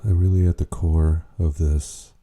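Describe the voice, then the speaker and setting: A man leading a guided meditation, speaking in an unhurried, gently questioning way with long pauses. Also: extremely low pitch, little pitch movement. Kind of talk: slow spoken guided meditation.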